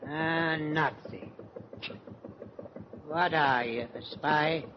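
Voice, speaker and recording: High-pitched, hot-tempered old sea captain. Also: male voice, extremely slow. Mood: suspicious. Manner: blustering, indignant, scornful. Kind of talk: 1940s radio drama acting. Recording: muffled 1940s radio recording, heavy hiss.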